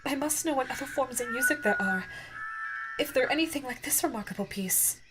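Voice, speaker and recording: A woman's voice: high-pitched, animated, loud music behind the voice, pitched above the performer's own voice. A woman voicing a regal female alien gem in an ASMR audio roleplay, formal and curious, tender and easily awed. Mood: excited, eager. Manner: formal, wondering, halting when moved.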